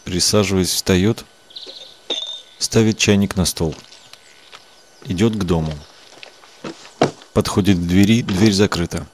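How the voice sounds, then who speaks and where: A man's voice: deep voice, takes it slowly, long pauses, background noise. A man giving audio description of on-screen action in brief, matter-of-fact statements. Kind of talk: flat audio description narration.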